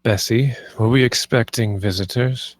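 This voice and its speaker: An actor playing the grave, commanding master of a household, speaking with deliberate authority in a screenplay table read. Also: male voice, medium pitch, dry recording, close to an everyday voice. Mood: stern authority.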